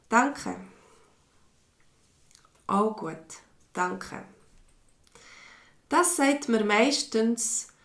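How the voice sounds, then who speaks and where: Swiss woman teacher, slow and patient, gravelly edge, roomy echo; live online lesson. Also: mid-range voice. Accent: mixed Swiss German. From Switzerland. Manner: slow, patient, reads viewers' comments aloud. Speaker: language teacher. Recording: echoey room.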